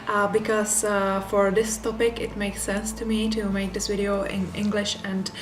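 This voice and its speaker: A woman's voice: medium pitch, flat delivery, normal speed, background noise. A woman with a Czech accent, a native Czech speaker talking in English, casually reviewing a book with many hesitant fillers and repeated words for emphasis. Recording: echoey room with background music. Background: music.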